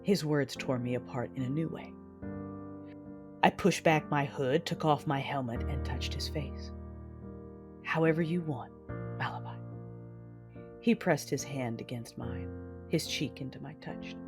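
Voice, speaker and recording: Woman acting vengeful Sith warrior over loud music. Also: extremely low pitch, a little slow, pauses often. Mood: anguished. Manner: intense, theatrical, brooding. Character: vengeful, anguished female Sith warrior narrating her tale. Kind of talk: dramatic first-person narration in audio drama. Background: loud dramatic music.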